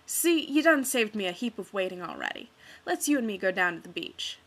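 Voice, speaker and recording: A woman's voice: medium pitch, sing-song, fairly quick. Woman putting on a drawling old bluesman. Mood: coaxing. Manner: drawling dialect, coaxing.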